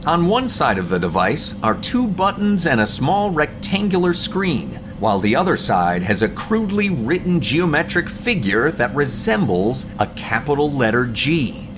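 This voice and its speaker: A man's voice: fairly high pitch, sing-song, normal speed, few pauses. A man narrating a superhero audio drama in an earnest, dramatic scripted storytelling style, with background noise. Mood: descriptive.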